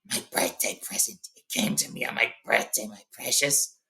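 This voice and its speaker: A man voicing a slimy, hungry cave creature from a storybook, with a hissing whisper, drawn-out muttering and a wheedling manner. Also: lowish voice, steady tone, creaky, pushed and bright, heavily acted. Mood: possessive. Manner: drawn-out, muttering to himself in odd grammar. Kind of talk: storybook character voice.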